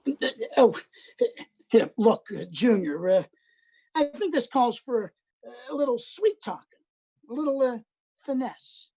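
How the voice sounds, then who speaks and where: A woman's voice: fairly high pitch, animated, measured pace, pauses often, dark tone, breathy edge. A woman voicing a cocky, sleazy male flirt who hems and haws with many "uh"s. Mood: smarmy. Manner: smarmy, full of hesitant "uh"s.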